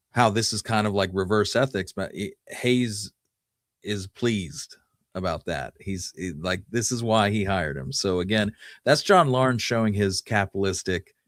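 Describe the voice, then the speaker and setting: Male podcast storyteller: deep register, informal halting delivery, dry recording. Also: a bit bright, no room sound. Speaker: podcast host. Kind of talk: conversational storytelling of Western history.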